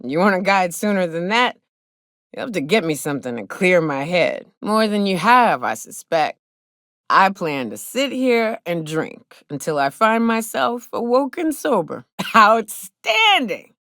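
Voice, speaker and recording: An actress voicing a brash, hard-drinking big game hunter in a video game, with folksy, slangy, sardonic talk. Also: female voice, mid-range voice, fairly bright tone, dry recording, studio quality. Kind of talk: video game character dialogue.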